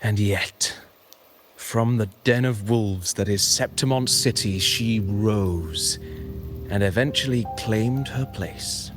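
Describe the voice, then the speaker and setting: Courtly wandering bard for a fantasy game: lowish voice, eloquent, measured, mysterious storytelling delivery. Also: male voice, faint music behind. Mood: reverent storytelling. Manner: eloquent, theatrical, measured, poetic. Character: cryptic, courtly male traveling poet and storyteller. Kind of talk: dramatic fantasy game voice acting.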